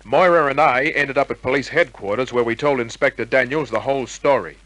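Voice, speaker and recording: An actor playing a wisecracking, cool private detective in old-time radio crime drama, with a wry, clipped delivery. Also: male voice, medium pitch, brisk, fairly bright tone, no echo, close to an everyday voice, poor audio. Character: wisecracking hard-boiled male private detective, cool under pressure.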